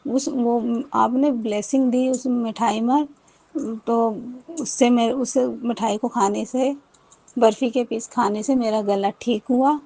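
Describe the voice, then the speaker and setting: Higher-voiced woman telling a rambling testimony. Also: dark tone, dry recording, low-quality recording. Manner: rambling, many restarts and repeated thanks. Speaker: devotee sharing a testimony. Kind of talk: personal testimony.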